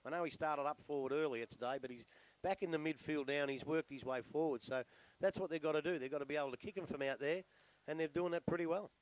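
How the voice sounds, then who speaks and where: A man's voice: on the high side, fast, poor audio. A man with an Australian accent giving chatty, blokey, colloquial expert comments during a football broadcast. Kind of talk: colloquial sports banter and analysis.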